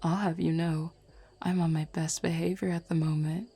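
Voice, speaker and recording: A woman voicing a flirty, self-assured female werewolf in an intimate roleplay audio, teasing softly and without hurry. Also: low voice, little pitch movement, normal speed, husky, soft background music. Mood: flirty, confident.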